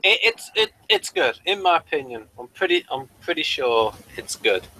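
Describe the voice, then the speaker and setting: Bright-voiced man with widely swinging pitch. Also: wildly swooping pitch, normal speed, creaky, no room sound, rough recording. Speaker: co-host of a TV review show. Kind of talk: casual chat reviewing a sci-fi TV episode.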